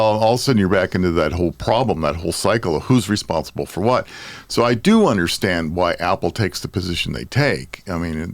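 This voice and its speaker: Podcast co-host, male, lowish voice, explanatory and casual, very dry recording. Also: no echo. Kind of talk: casual tech discussion.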